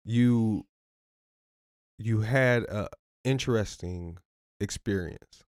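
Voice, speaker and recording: Man, low voice, casual podcast host, playful, stumbles, dry recording. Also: takes it slowly, no echo. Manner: loose, playful, repeats himself and stumbles. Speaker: podcast host and relationship coach.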